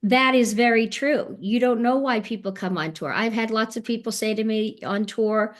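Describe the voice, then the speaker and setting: A woman's voice: fairly high pitch, normal speed. A woman speaking as a panelist on a video call, telling fond stories about her work as a tour director. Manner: earnest, fond storytelling with fillers. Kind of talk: casual webinar chat.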